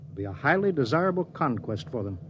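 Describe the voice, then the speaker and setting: An actor playing a stern, weary, resolute starship commander in a 1950s radio drama, authoritative and deliberate. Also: male voice, medium pitch, sing-song, lo-fi sound, slight background noise, close to an everyday voice. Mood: weary, firm.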